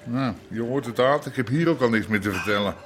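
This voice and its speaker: An actor playing a middle-aged, grumbling old-school underworld boss in a radio drama, with an Amsterdam accent and blunt street talk. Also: male voice, medium pitch, fairly quick, noisy background, lightly acted. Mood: blunt, bossy. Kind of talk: naturalistic radio drama acting.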